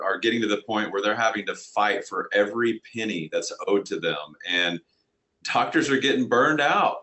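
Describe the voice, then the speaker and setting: A man with a slightly gravelly, allergy-affected voice, speaking conversationally as a company president pitching on a webinar. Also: bright, forceful tone, poor audio. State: congested from allergies.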